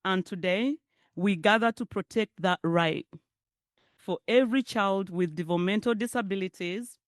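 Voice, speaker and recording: A woman's voice: medium pitch, normal speed, no room sound, studio quality. A woman with a Ugandan accent giving a personal keynote speech at a public forum, chatty and laughing, with asides to the audience. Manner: conversational, laughs often, makes off-the-cuff asides to the audience. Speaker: keynote speaker.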